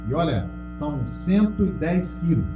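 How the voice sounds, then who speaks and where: Brazilian preacher in an echoey church. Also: male voice, very animated, normal speed. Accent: Brazilian Portuguese. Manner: emphatic preaching, calls out to the congregation, drops low and loud on key words. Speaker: pastor. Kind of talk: sermon. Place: church hall. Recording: echoey, from far off, music behind.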